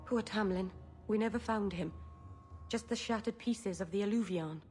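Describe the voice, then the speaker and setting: Earnest, gentle young elf woman voiced by an actress. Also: medium pitch, normal speed, soft background music, lightly acted. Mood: wistful. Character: earnest young female elf mage, gentle, naive, stubbornly hopeful. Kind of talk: dramatic video game acting.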